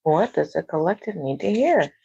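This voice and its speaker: A soft-spoken woman reading tarot on a casual livestream at home, talking quietly to herself. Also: deep voice, lively intonation, moderate pace, gravelly, dull audio, slight background noise. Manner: talks to herself, quiet and musing. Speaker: tarot reader. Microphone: clip-on microphone.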